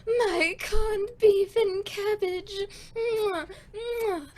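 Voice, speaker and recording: Hammy squeaky puppet couple. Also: female voice, drawn-out words, darkish tone, full character voice. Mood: mock-domestic, cooing. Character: puppet parody of a bickering Irish married couple. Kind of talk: puppet show impression.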